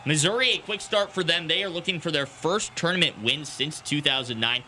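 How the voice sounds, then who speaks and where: A young man working as a sportscaster, with a very bright, forceful voice set a little high for a man. He delivers headlines and play-by-play in a broadcast commentary style. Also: a little fast, slight background noise. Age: young adult.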